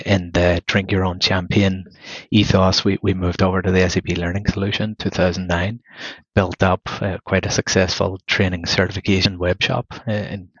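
A man from Northern Ireland with a Northern Irish accent, a manager talking through his career in a podcast interview, measured and given to restarting his phrases. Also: deep voice, slightly rough, no room sound, lo-fi sound. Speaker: guest manager.

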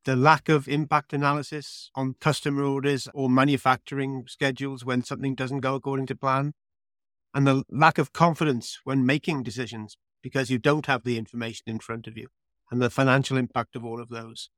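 A man with a medium-pitched voice hosts an interview podcast. He recaps and asks questions, recorded dry with almost no room sound. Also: level tone, a little fast, fairly bright tone, no echo. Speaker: podcast host.

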